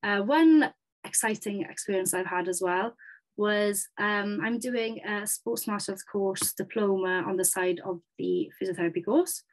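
A woman's voice: mid-range voice, measured pace, poor audio. A young woman in her twenties with a Welsh accent, a native Welsh speaker, giving an upbeat, chatty presentation as a physiotherapy student over a video call. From Wales. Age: 20s. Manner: upbeat, chatty, with frequent ums. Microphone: webcam on a video call.

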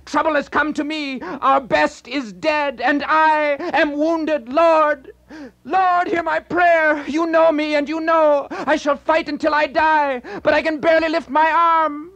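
A man's voice: very high voice, steady tone, a little slow, vocal fry, fairly bright tone, voice pushed up, exaggerated voice. A man voicing a wounded warrior who prays and reproaches with anguish in a storybook reading. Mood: anguished prayer. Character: wounded male warrior praying, anguished, urgent.

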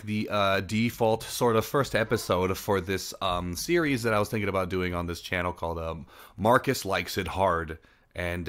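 A young man with a deep, low voice whose first language was Japanese. He rambles and jokes at his own expense in loose, casual commentary while he plays a game for his channel. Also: pushed and bright. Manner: rambling, self-mocking, chatty. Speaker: gaming channel host.

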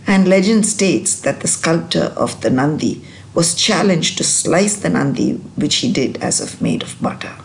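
A woman narrating a travel vlog voice-over in a measured, explanatory way. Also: low voice, animated, gravelly.

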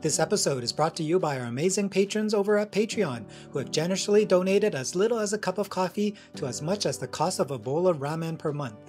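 A man's voice: high voice, animated, fast talker, hardly any pauses, darkish tone, soft background music. A man, hosting an interview podcast. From Canada. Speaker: podcast host. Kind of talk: podcast intro and interview questions.

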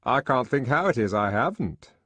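Man voicing a smug, boastful young man, pitched up. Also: big pitch swings, moderate pace, fairly bright tone, slightly rough, no echo. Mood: eager. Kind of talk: audiobook character acting.

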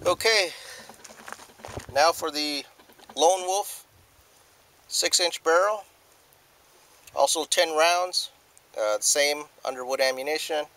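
Halting man filming at a desert range. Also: a little high, sharp tone. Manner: hesitant, many "uh" fillers, plain and matter-of-fact. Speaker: firearms video host. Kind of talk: casual hobby demonstration. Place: outdoors in open desert.

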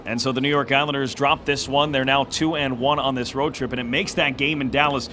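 A man in his thirties hosting a post-game sports show, giving a fluent, brisk recap into a handheld mic. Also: medium pitch, fairly even intonation, bright, forceful tone, faint music behind. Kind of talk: broadcast sports recap, polished. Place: inside a large hockey arena. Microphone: handheld broadcast microphone.

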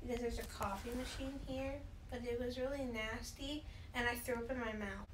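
A woman's voice: fairly high pitch, fairly even intonation, normal speed, far from the mic. A teenage girl co-hosting a friend's vlog with casual chat. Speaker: vlogger's friend and co-host. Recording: noisy handheld phone vlog.

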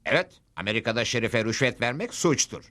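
A man's voice: medium pitch, sing-song, a little fast, noisy background, close to an everyday voice. A man playing a composed, upright cowboy hero in a dubbed cartoon, firm and wry. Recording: music behind the voice.

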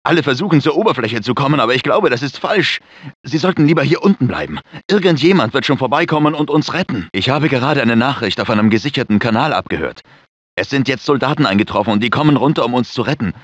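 A fretful research scientist in a sci-fi disaster game. He is earnest and theatrical, with a middle-pitched man's voice. The recording is close and dry but dull, like compressed game audio. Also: animated, fast talker, husky, dry recording. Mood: worried. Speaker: video game voice actor. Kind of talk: dramatic game character dialogue, German dub.

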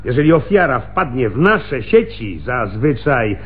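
A seasoned, cunning police lieutenant in a radio drama. He speaks slowly with sardonic, insinuating swings of pitch. The recording is narrow and phone-like. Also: big pitch swings, breathy edge. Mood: sly, menacing. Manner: sardonic, insinuating, drawling with theatrical pauses. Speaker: actor in a radio play. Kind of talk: Polish radio drama acting.

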